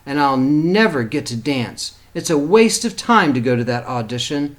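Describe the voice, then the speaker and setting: A man's voice: a little high, animated, normal speed, natural voice. A man voicing a sassy, sensitive young girl dancer in a storybook, with plain-spoken retorts. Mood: dejected.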